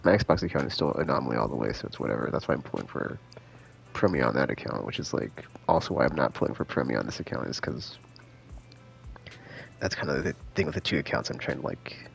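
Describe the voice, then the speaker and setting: A man chatting casually on a live game stream in a laid-back, slangy, rambling way, thinking out loud, with a gravelly voice. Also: a bass voice, expressive, fast, faint music behind. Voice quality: gravelly, creaky.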